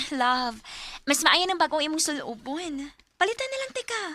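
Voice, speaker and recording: A generous young woman devoted to love, in a Bisaya radio drama. Her voice is high and sharply bright, with a Filipino Bisaya accent. She speaks with open, earnest emotion. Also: big pitch swings, normal speed, heavily acted. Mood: sweet, eager. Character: generous lovestruck young woman, giving everything to boyfriends.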